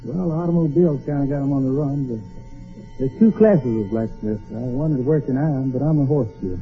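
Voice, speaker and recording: A man's voice: medium pitch, a little fast. An American man from Oklahoma talking casually and folksily as a quiz show contestant. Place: radio studio with live audience. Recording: tinny vintage radio, music, audience.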